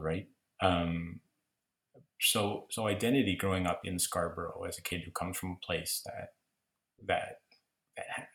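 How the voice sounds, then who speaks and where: Deep-voiced reflective man, roomy echo. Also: fairly bright tone. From Toronto, Canada. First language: Greek. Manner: reflective, rambling, many restarts. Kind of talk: casual conversation.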